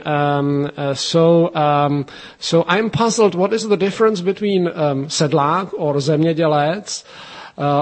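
Hesitant Czech-accented man, fairly high voice. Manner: hesitant, many fillers and restarts. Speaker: linguist, head of a language institute. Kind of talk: radio interview answers.

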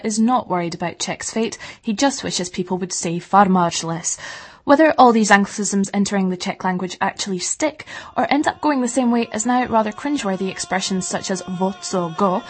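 A woman interviewing a linguist and then wrapping up the report in measured questions and narration. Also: mid-range voice, slightly rough.

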